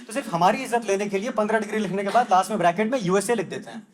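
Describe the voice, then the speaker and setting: A man's voice: sits high, animated, rapid-fire, background noise. A young man from Delhi doing a conversational stand-up comedy set and briefly acting out the voices of locals. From Delhi, India. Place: comedy club stage with live audience. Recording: live crowd laughter. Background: audience laughter.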